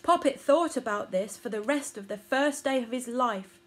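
A woman's voice: fairly high pitch, animated, moderate pace, loud music behind the voice. A woman in her thirties narrating a children's storybook aloud, recorded indoors at arm's length. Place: small furnished room indoors.

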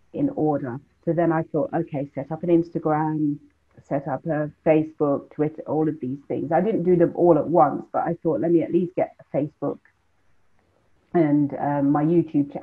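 A woman's voice: deep voice, breathy edge. A woman from London, a cooking show presenter, telling her story in detail as an interview guest over a video call. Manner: measured, explains in detail. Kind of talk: conversational storytelling. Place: home room, video call. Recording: thin phone-like video call audio.

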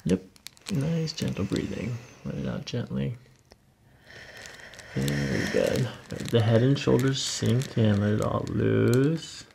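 A middle-aged man with a heavily creaky voice talks a patient through hands-on treatment. He gives short, reassuring cues in a calm ASMR manner, with faint noise behind him in a small office. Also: mid-range voice, slow. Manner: soothing, reassuring instructions in short phrases. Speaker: chiropractor. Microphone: microphones on boom stands near the table.

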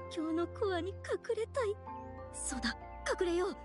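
A woman's voice: very high voice, animated, unhurried, over music. A woman voicing a timid, flustered, self-deprecating young woman in an anime game, hesitant and dramatic. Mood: panicked. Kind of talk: Japanese anime game voice acting.

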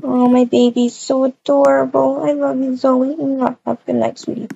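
A woman plays a sweet, doting young mother in a game roleplay. Her voice is high and mellow, tender and hesitant, in a slightly dull recording. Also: flat delivery, moderate pace, vocal fry, a little hiss or hum.

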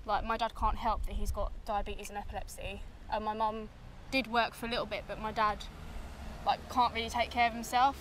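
A young woman speaks softly and evenly about her family. Her voice barely rises or falls. A faint hiss from outdoors runs under it. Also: mid-range voice, flat delivery, brisk. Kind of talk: casual documentary interview.

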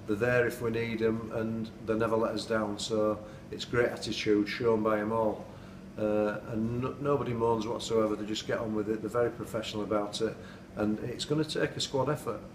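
Football manager, flat and roomy. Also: male voice, fairly low pitch, normal speed, few pauses, recorded from a distance. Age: middle-aged. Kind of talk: post-match interview. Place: indoors at night, dim room. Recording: echoey room, off-mic, noisy.

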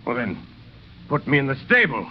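An actor playing a weary, ragged, hungry traveler who insistently pleads, in a 1930s radio drama. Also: male voice, mid-range voice, wildly swooping pitch, normal speed. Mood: urgent, polite. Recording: old 1930s radio recording, muffled, noisy.

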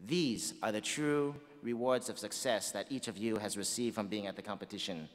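A man's voice: lowish voice, normal speed. A man giving a formal ceremony speech as a competition presenter. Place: large concert hall. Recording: reverberant hall, music underneath. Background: music.

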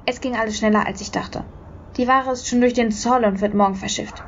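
An actress playing a hurried, nervous female accomplice in a radio drama. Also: a little high, fairly even intonation, highs cut off, a little hiss or hum, natural voice. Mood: urgent.

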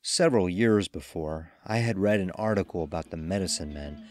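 Horror audio drama lead, male actor: ruthless dying gambler, deep-voiced, brooding, deliberate first-person confession. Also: a little mellow, no room sound, close to an everyday voice. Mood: grimly matter-of-fact.

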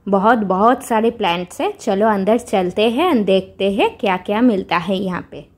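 A woman's voice: mid-range voice, animated, normal speed. A woman in her thirties with an Indian accent, chattily addressing viewers as the host of a casual vlog.

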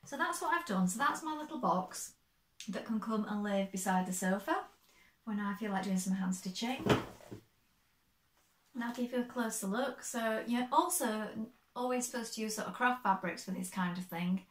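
Chatty, slightly croaky woman sewing vlogger. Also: medium pitch, moderate pace, echoey room. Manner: chatty, explains step by step. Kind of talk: casual show-and-tell. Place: bright sewing room, indoors.

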